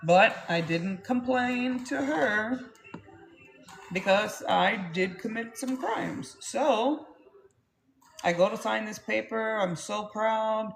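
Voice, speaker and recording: A woman's voice: on the high side, takes it slowly, gravelly, faint music behind, low-quality recording. A woman, telling her life story to camera in a blunt, rambling way and swearing freely. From Texas, US. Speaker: vlogger.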